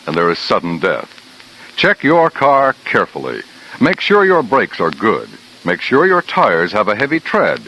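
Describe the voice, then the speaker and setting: A man's voice: lowish voice, fairly bright tone, low-quality recording. A man with an American accent announcing formally and emphatically in 1950s radio style. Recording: old radio recording, faint noise.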